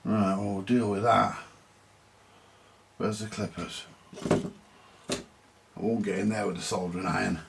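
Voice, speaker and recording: Low, gravelly British man muttering as he works. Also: level tone, slow, long pauses, heavy background noise. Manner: muttering to himself while working, mildly grumbling and sweary. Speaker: host of a vintage radio repair vlog. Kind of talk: casual hands-on repair commentary, talking to viewers.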